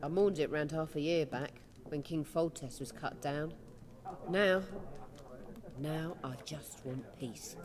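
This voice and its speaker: A woman's voice: low voice, slow pace, a crowd in the background. An actress playing a weary, blunt village woman who trades, in a video game.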